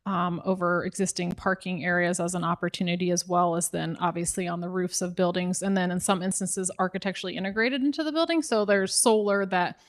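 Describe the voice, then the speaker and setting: A woman's voice: lowish voice, steady tone, fairly quick, hardly any pauses. A woman city official with an American Midwest accent, explaining zoning rules to residents at a public meeting, with frequent ums and restarts.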